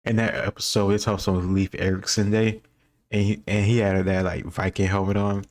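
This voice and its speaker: Man, deep low voice, casual commentary, informal and rambling, thinking aloud. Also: flat delivery, normal speed, a little mellow. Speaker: host reacting to a history quiz video.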